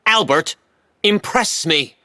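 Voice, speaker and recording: An actor in a comic audio play playing a stern, haughty Chinese hacker boss with dry, imperious sarcasm. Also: male voice, high-pitched, expressive, slow, sharp tone, dry recording, exaggerated voice. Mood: scornful, commanding. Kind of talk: audio play comedy acting.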